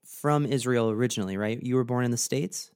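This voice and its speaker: A man's voice: medium pitch, fairly quick, darkish tone, clear, resonant tone. Laid-back podcast host man. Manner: brief reactions, swearing, laid-back. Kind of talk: casual interview chat.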